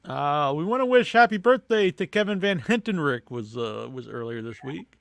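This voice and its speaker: A man hosting a podcast in casual chat, informal and low-key, with a hesitant delivery. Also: expressive, normal speed, vocal fry, no echo. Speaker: podcast host.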